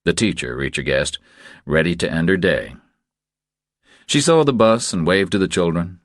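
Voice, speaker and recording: A man narrates a thriller audiobook in an extremely deep voice. The recording is dry and close, with no room sound around the scripted reading. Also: big pitch swings, normal speed, dry recording.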